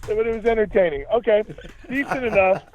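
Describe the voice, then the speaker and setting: A man's voice: sits high, brisk, darkish tone. A man talking as a podcast guest, giving emphatic, opinionated rants in slangy conversational speech. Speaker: podcast guest, musician and writer. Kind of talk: animated ranting conversation. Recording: thin phone-like line.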